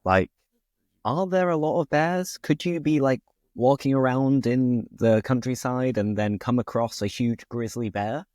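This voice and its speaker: A man's voice: mid-range voice, unhurried, a little mellow, no echo, clean studio sound. A man from Britain hosting an interview, asking questions in an upbeat, curious way. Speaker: host.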